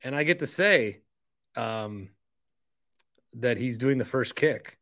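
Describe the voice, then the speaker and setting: Bright-voiced American man, chatty podcast host. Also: mid-range voice, lively intonation, slow pace, no echo, clean studio sound. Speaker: podcast host and stadium announcer. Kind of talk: casual solo podcast monologue.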